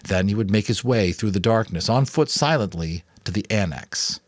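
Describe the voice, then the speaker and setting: Low-pitched guy reading a novel out loud with casual little asides, super dry room sound. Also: expressive, moderate pace, dry recording. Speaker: amateur audiobook narrator. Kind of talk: dramatic book reading with casual commentary.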